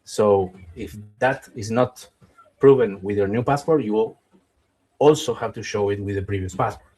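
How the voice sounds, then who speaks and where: A man's voice: fairly low pitch, normal speed, dark tone, poor audio. Man explaining. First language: Spanish. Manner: explanatory, keeps checking in with "okay?"; cautious, qualifies advice with "in my opinion". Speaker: visa webinar host. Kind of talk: live webinar Q&A.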